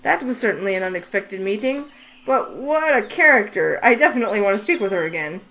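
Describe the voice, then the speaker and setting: A woman's voice: mid-range voice, expressive, a little fast, a bit bright, a little hiss or hum, low-quality recording. Woman reading a curious young heroine. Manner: eager, wide-eyed questions. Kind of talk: voice acting a visual novel.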